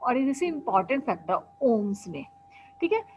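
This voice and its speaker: A woman's voice: on the high side, big pitch swings, unhurried, darkish tone. A woman lecturer explains technical topics, posing questions and answering them herself and often checking in with students. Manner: explanatory, asks and answers her own questions, frequent "ठीक है" checks. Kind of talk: classroom lecture mixing Hindi and English. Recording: dull lo-fi sound with faint hum.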